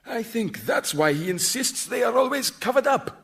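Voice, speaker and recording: An actor playing an excitable, naively curious and self-deprecating man, in radio sitcom style. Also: very high-pitched, normal speed, vocal fry, heavily acted. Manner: questioning, excitable.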